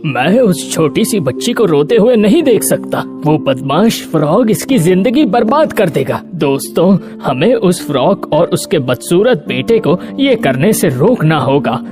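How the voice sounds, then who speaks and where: Man as animated, indignant cartoon animal. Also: high voice, measured pace, few pauses, over music. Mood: indignant, rallying. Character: indignant male fairy-tale animal, bold and forceful. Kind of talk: children's animated story dub.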